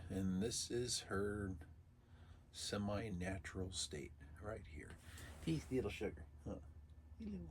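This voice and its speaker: A man with a low, gravelly voice, thick with congestion because he is under the weather. He rambles casually through an unboxing and drops dry little asides. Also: slight background noise. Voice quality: raspy. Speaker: vlog host.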